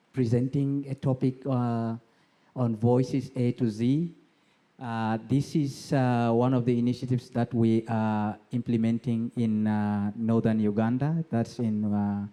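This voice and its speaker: Man, East African accent, very soft dark tone, halting explanatory delivery, conference presenter. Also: medium pitch. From South Sudan. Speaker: conference speaker, NGO co-founder. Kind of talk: prepared presentation.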